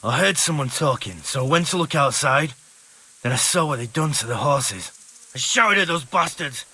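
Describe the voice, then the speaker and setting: A husky, forceful man's voice plays a rough village brawler in a video game. He is blunt, coarse and defiant, with lively swings in pitch. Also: moderate pace, full character voice. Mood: indignant. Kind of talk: video game dialogue acting.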